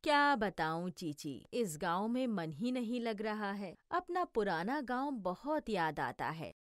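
A woman voicing a gentle, homesick village mother who explains things patiently. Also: mid-range voice, big pitch swings, unhurried, no room sound, studio quality. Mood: wistful. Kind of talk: educational cartoon voice acting.

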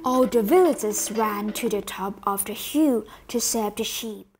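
A woman narrating a fable in a student puppet show, in slow, clear storybook style. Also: medium pitch, darkish tone.

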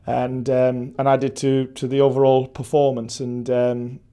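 A Welsh man in his forties, a football head coach, giving a measured post-match interview with many restarts and fillers. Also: medium pitch, monotone, mellow, clear, resonant tone. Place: indoor press backdrop at a stadium.

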